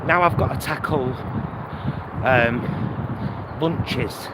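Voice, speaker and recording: A man's voice: mid-range voice, drawn-out words. A British man talking casually to a vlog audience while out walking, in a reflective, hesitant, self-deprecating way. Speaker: vlogger. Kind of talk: casual walk-and-talk vlog. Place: outdoors, walking along streets. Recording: windy outdoor recording, loud traffic noise. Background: wind on mic, vehicles, urban ambience.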